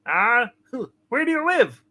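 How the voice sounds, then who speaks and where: A man voicing a tipsy, puzzled, gruff cab driver in an amateur comic play reading. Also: extremely high pitch, sing-song, slow, pushed and bright, full character voice.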